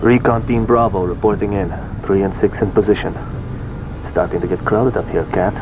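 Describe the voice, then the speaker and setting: Game role: man as laconic veteran marksman, low and gravelly, dry wit, over noisy vehicle ambience. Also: fairly even intonation, brisk, natural voice. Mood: dry, confident. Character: gruff male soldier, wry veteran marksman on recon. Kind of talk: video game cutscene acting.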